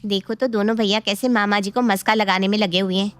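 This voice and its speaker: A woman voicing a competitive young niece who flatters sweetly and schemes, in an animated moral story. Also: medium pitch, a little fast, clean tone, soft background music, natural voice. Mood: scheming. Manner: sugary, ingratiating.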